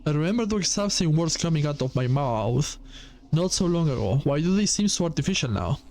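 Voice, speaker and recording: A man's voice: on the high side, very animated, a little fast, a little mellow. A man voicing a puzzled, forgetful doll in a gothic visual novel, questioning and uncertain.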